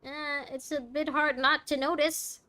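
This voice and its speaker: Playful woman streamer, higher-pitched voice. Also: little pitch movement, measured pace, pushed and bright, dry recording. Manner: playful, chatty asides to game characters. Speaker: gaming streamer. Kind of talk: casual playthrough commentary. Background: game music.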